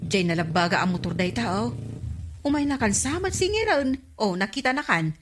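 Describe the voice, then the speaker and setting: Woman acting animated, gossipy Ilocano village friend. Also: medium pitch, moderate pace, noisy background, close to an everyday voice. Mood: alert, teasing. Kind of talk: broadcast comedy radio drama acting.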